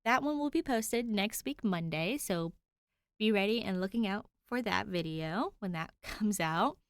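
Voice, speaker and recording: A woman podfic creator chatting casually to her listeners in an excited, gushing manner. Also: mid-range voice, unhurried, smooth, no room sound.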